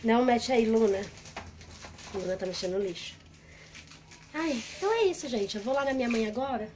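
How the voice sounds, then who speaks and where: A woman's voice: fairly high pitch, animated, a little fast, a little mellow. A woman in her thirties from Brazil chatting casually to the camera in a home vlog, filming on a phone held at arm's length indoors. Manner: chatty, talks straight to the camera. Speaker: vlogger. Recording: noisy phone recording.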